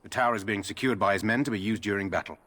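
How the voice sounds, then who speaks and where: A fantasy game soldier on guard duty. He talks fast in a lowish voice, terse and matter-of-fact. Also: a bit bright. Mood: dutiful, matter-of-fact. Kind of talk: video game character dialogue.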